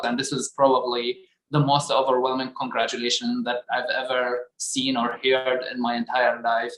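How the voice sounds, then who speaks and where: Guy in his 30s telling his story, pretty flat monotone, echoey room. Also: medium pitch. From Iraq. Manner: earnest, measured recounting. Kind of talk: personal testimony. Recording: roomy echo.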